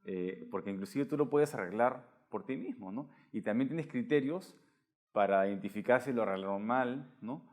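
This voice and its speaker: A man's voice: mid-range voice, expressive, normal speed, a little mellow. A man hosting an interview, framing long conversational questions. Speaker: host, interviewer.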